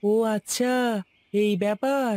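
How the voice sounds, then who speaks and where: A woman's voice: mid-range voice, steady tone, slow, mellow, natural voice. A woman voicing an eager young village boy in a cartoon, polite and sure of himself. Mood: curious. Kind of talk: Bengali cartoon voice acting.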